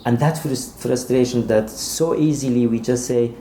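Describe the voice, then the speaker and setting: A man being interviewed as an author, speaking haltingly and repeating words as he searches for phrasing. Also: medium pitch, warm tone. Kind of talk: interview conversation. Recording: roomy echo, faint background noise.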